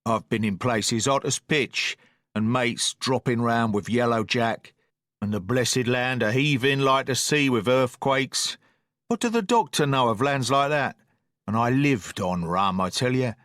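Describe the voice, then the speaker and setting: A man voicing an old drunken sea captain, feeble but blustering, who wheedles and talks in rough sailor's slang. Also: medium pitch, a little slow, fairly bright tone, dry recording, clean studio sound, natural voice.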